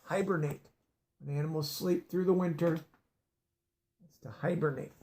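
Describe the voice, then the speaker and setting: A man's voice: on the high side, fairly even intonation, normal speed, a little mellow, a little hiss or hum. A man reading a children's picture book aloud, stopping to explain words in a teacherly way. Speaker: storybook reader.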